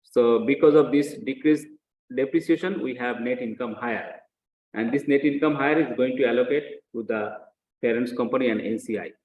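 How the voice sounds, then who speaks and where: A man's voice: medium pitch, brisk, darkish tone, slight background noise. Male lecturer in an echoey room. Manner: repeats phrases, asks short check questions. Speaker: accounting lecturer. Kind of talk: teaching lecture with worked example. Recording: echoey room, dull muffled sound.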